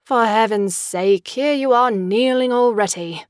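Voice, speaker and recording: A woman's voice: fairly high pitch, measured pace, dry recording, clean studio sound, natural voice. An actress playing a fickle, imperious young woman in an audio drama, poised and articulate, with a mocking manner. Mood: commanding.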